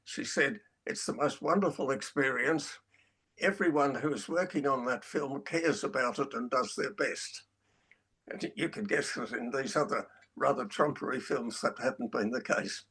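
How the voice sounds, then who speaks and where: An older man with a husky voice reminiscing in a halting way, repeating words as he recollects. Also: mid-range voice, a bit bright. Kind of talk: reminiscing in a webinar discussion.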